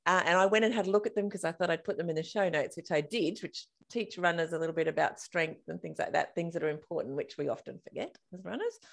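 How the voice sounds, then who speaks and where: A woman's voice: on the low side, fast talker, vocal fry, hardly any pauses. Chatty Australian woman podcast host. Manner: chatty, bubbly, laughs often. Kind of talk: casual interview chat.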